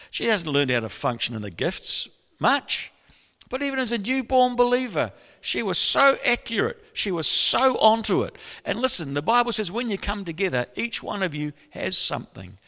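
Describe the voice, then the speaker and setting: A middle-aged man preaching a sermon to his church with a gravelly voice, telling stories animatedly and breaking into laughter. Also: high voice. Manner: animated storytelling with bursts of laughter. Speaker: pastor. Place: church hall. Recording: long church reverb.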